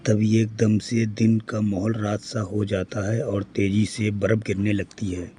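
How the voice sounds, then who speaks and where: A man narrates a cartoon moral story in a low voice. His delivery is scripted and even, with very little rise and fall. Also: a little slow, breathy edge.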